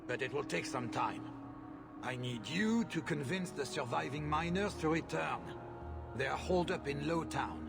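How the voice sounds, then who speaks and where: Shrewd merchant, gravelly tone, lively swinging pitch, game cutscene, music behind. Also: male voice, moderate pace. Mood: businesslike. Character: shrewd smooth-talking mine owner merchant. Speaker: game character. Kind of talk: video game cutscene dialogue.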